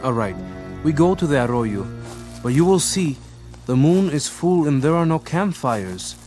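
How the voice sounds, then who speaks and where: Higher-voiced, skeptical Mexican village deputy. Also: male voice, sing-song, unhurried, darkish tone. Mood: reluctant, assured. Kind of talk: radio drama acting. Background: crickets and music behind the voice.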